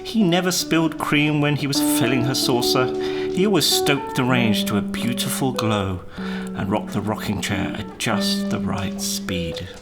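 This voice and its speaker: Man narrating a storybook over guitar music. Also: medium pitch. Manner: measured, expressive storybook delivery. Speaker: storyteller reading aloud. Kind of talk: scripted children's story narration.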